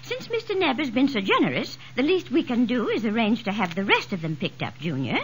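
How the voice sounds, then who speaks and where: An actress playing a kindly, prim town librarian in a 1940s radio comedy, polite and effusive. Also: female voice, fairly high pitch, very animated, a little fast. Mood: pleased. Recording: hissy vintage 1940s radio recording.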